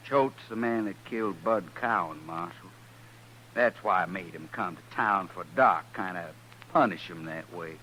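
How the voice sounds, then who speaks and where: Low, bright-edged western cattle drover. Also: male voice, measured pace. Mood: resigned. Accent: American, rural western drawl. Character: plain-spoken, chastened, tough male cattle drover. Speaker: actor in a western radio drama. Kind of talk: old-time radio western acting. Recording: noisy, humming vintage radio recording.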